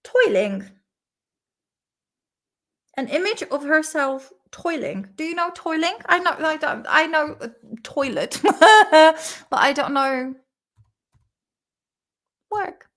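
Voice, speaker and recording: Young Czech woman, vlog host; crisp, bright tone, a bit high; loose, sweary, giggly study-along chat. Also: expressive. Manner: chatty, swears freely, laughs often; sounds words out slowly and repeats them.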